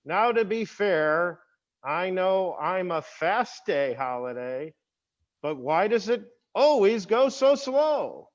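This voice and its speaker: Guy doing a whiny self-important holiday mascot, high voice swinging all over, drawn out, muffled lo-fi sound. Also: very animated, slow, exaggerated voice. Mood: mock-pompous complaining. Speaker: rabbi. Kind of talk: scripted comic monologue, amateur readers' theater.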